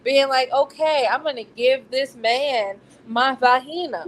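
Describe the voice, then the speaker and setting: An American woman chatting casually as a book discussion co-host, candid and slangy in her animated storytelling. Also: sits high, a little slow, rough recording. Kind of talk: casual chat. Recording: noticeable background noise.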